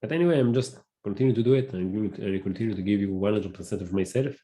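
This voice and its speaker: A man with a Hebrew accent, a native Hebrew speaker, hosting a casual solo podcast and talking haltingly, often searching for words and restarting. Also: on the low side, warm tone. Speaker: podcast host.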